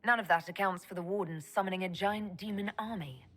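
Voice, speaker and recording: An actress playing a sharp elf mage who leads an army in a video game, questioning others in a direct, dry way over music. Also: female voice, mid-range voice, fairly even intonation, fairly quick, close to an everyday voice. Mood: dry, skeptical. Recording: music under the dialogue.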